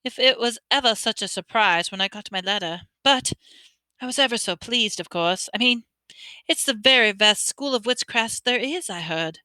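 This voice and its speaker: A woman voicing a bossy, know-it-all young schoolgirl who talks briskly. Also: fairly high pitch, pushed and bright, clean tone, dry recording.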